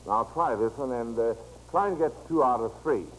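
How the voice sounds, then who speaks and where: A man's voice: mid-range voice, a little fast. A man with an American accent hosting an old-time radio quiz show, folksy and chatting to the listeners as friends. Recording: noisy vintage radio broadcast recording.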